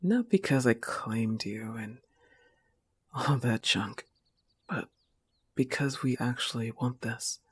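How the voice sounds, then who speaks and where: A woman's voice: extremely low pitch, animated, slow, long pauses, slightly rough, heavily acted. A woman voicing a protective, affectionate young goth wolf-girl girlfriend in an intimate audio roleplay, coaxing and reassuring the listener with a firm manner. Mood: tender, supportive.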